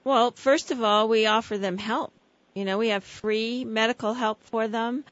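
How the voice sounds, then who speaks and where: A woman's voice: fairly high pitch, steady tone, moderate pace, no room sound, highs cut off. Matter-of-fact woman guest. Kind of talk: radio conversation.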